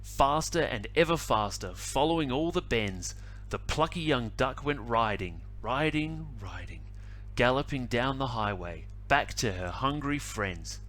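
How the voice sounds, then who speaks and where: A male teacher reading a picture book aloud to children, animated and adding little asides. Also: medium pitch, slight background noise. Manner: animated, slow storytelling with asides.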